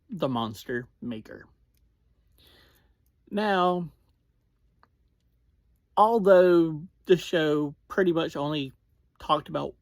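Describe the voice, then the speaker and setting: Slow, halting reviewer in a dry room. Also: mid-range voice, no echo. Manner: halting, breaks sentences into short phrases. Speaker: podcast reviewer and host. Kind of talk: casual episode review and recap.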